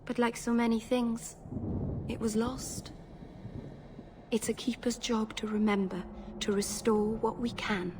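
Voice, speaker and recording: A woman's voice: a little high, little pitch movement, measured pace, long pauses, background noise, natural voice. A woman voicing an earnest young female elf mage in a video game, hesitant and apologetic, tending to babble and interrupt herself. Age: young adult.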